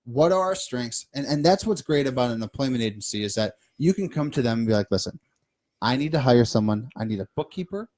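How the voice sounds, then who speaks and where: A man with a Midwestern American accent co-hosting a business podcast, talking in an animated, emphatic way and listing points off one by one. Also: medium pitch, fairly quick. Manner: animated, emphatic, lists things off. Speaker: podcast co-host. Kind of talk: casual business chat. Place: studio.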